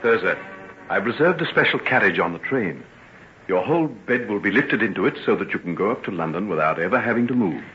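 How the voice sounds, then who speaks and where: An actor playing a grave, remorseful gentleman doctor, formal and earnest, in an old-time radio drama. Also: on the low side, animated, a little fast, faint music behind, lightly acted. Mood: resolute, reassuring. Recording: tinny, noisy old radio recording.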